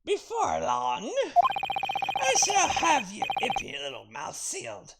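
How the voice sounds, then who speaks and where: Woman putting on a haughty, slow-drawling prosecutor. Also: lowish voice, huge pitch swings, gravelly, a loud crowd, full character voice. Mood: haughty, menacing. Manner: drawn-out, haughty declaiming. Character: smug, menacing male prosecutor, scornful of the young. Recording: game music behind the voice.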